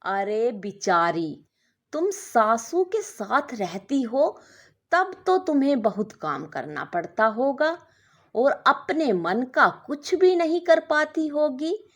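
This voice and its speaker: Storyteller lady doing a nosy scornful office woman, highish voice, swoopy, needling and exclaiming. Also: very animated, slow pace, heavily acted. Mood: mock pity. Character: nosy, scornful working woman, former schoolmate.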